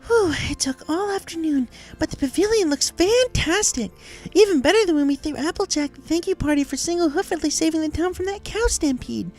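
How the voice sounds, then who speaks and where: A haunted, desperately upbeat girl pony from a cartoon fan story. The voice is extremely high and earnest, narrating a diary with faint music underneath. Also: expressive, a little fast, hardly any pauses, darkish tone. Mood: hopeful, excited. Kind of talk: dramatized diary reading of fan fiction.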